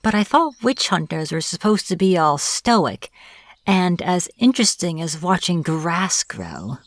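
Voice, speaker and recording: A woman playing a smug, teasing witch in an audio roleplay, taunting a captive in a playful, deliberate manner. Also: on the low side, very animated, no room sound. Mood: mocking. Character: smug teasing young-sounding witch, captor of a hunter. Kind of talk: audio roleplay, acted monologue to the listener.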